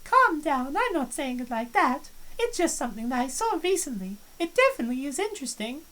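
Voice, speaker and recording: Woman streamer reading visual novel lines, high, animated voice. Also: a little fast. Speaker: game streamer.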